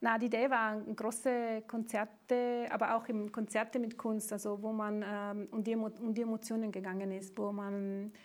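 A woman with an Italian accent, a native Italian speaker, answering interview questions about her songwriting, pausing often to search for words. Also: a little high, level tone. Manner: hesitant, searching for words, many fillers.

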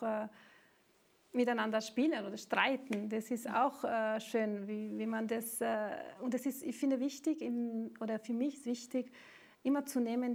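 A woman with an Italian accent, a native Italian speaker, answering interview questions about her songwriting, pausing often to search for words. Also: fairly high pitch, vocal fry, a little mellow. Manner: hesitant, searching for words, many fillers.

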